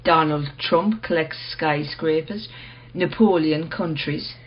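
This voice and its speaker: Low, husky, probing questioner. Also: female voice, highs cut off, heavily acted. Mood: quietly challenging. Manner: measured, questioning, persistent. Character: earnest, probing woman, a modest collector, quietly challenging. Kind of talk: radio play acting.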